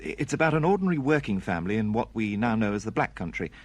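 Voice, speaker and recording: An actor playing a dry, disgruntled schoolteacher and struggling novelist, pedantic and self-deprecating, in a radio drama. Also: male voice, lowish voice, big pitch swings, racing, a little mellow. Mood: awkward, correcting. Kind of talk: naturalistic radio drama dialogue.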